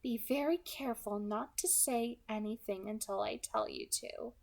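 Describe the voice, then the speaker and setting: A woman voicing a polite, menacing female kidnapper with a soft, pleasant alto voice, light and friendly in tone. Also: on the high side, moderate pace, creaky. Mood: coolly menacing. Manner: light, friendly, quietly threatening.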